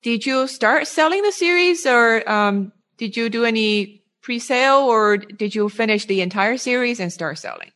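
A woman conducting a casual interview, phrasing her thoughts haltingly and repeating words as she goes. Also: a little high, animated, a bit bright.

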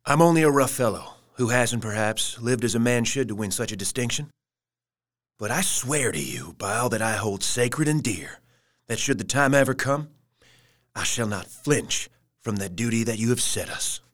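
Man playing Quincy, rough loyal frontiersman. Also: mid-range voice, lively intonation, normal speed, fairly bright tone, close to an everyday voice. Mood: earnest vow. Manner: earnest, vowing. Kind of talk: radio play acting.

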